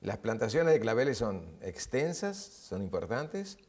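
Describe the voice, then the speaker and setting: A man's voice: mid-range voice, very animated, measured pace, a little mellow. An older man with an Argentine Spanish accent hosting a TV matchmaking show, coaxing and playful, with short repeated questions. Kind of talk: studio interview and address to camera. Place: TV studio set. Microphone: lapel.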